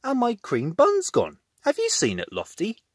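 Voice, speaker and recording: A man's voice: very high voice, huge pitch swings, moderate pace, no room sound, clean studio sound, voice pushed up, full character voice. A man voicing a friendly, good-natured builder in a children's storybook. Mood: puzzled.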